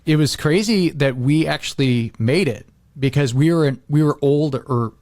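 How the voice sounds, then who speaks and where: A man's voice: on the high side, moderate pace, darkish tone, dry recording, clean studio sound. A middle-aged American man chatting casually as a podcast host, rambling and leaning on "like" as he acts out little quotes. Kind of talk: casual chat.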